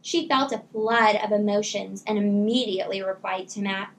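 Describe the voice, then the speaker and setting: A woman reading a children's book aloud as a teacher would, in expressive, deliberately phrased storytelling. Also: medium pitch, slight background noise.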